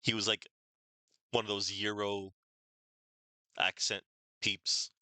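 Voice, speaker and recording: A man's voice: fairly low pitch, flat delivery, takes it slowly, bright, forceful tone, smooth, no echo. A man chatting casually while streaming a game, rambling and hesitant with lots of "like", English not his first language. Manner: rambling, hesitant, many "like"s, laughs at himself. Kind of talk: casual live-stream commentary.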